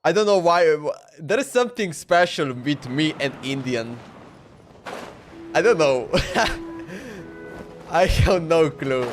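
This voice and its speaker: A man's voice: fairly high pitch, expressive, takes it slowly, long pauses, faint music behind. A man chatting casually on a game livestream in an informal, slangy, repetitive way. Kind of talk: casual stream chat.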